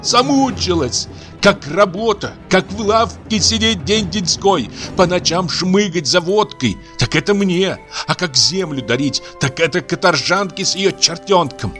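A man voicing a furious young woman who shouts and sobs out bitter accusations. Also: high voice, animated, a little slow, soft background music, pitched above the performer's own voice. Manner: shrieking accusations. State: sobbing with rage.

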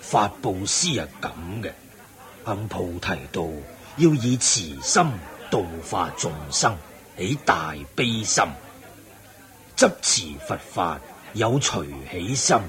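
An actor playing a wise, kindly old Buddhist sage in a Cantonese radio drama, didactic and measured with a Hong Kong accent. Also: male voice, on the low side, sing-song, a bit bright, soft background music, heavily acted. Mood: teaching.

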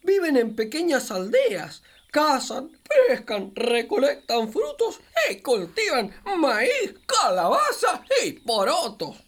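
A woman's voice: high voice, slow, few pauses, exaggerated voice. A woman playing a friendly, wise capybara who patiently explains things to a child in children's radio theatre, with an Argentine accent. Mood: enthusiastic, explaining. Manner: patient, expressive explaining, storyteller-like. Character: friendly wise capybara guiding a little girl.

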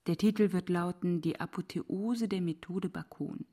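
An actress playing a defiant, sardonic woman scholar in a radio play, speaking deliberately and provocatively. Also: on the low side, steady tone, mellow, natural voice. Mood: cool, mocking.

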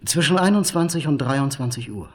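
Higher-voiced, clinical male police doctor acted. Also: animated, a little fast, close to an everyday voice. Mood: clinical, factual. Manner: clinical, precise. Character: matter-of-fact male police doctor giving forensic findings. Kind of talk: German radio crime play dialogue.

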